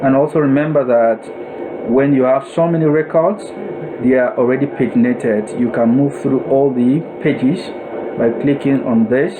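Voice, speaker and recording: A man narrating a step-by-step software tutorial in a deliberate, instructional way, repeating and rephrasing himself as he goes. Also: medium pitch, steady tone, noisy background.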